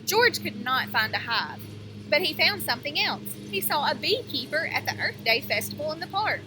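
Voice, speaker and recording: A woman teacher reading a picture book aloud to young children, pausing to explain things and ask them questions. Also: sits high, very animated, background noise.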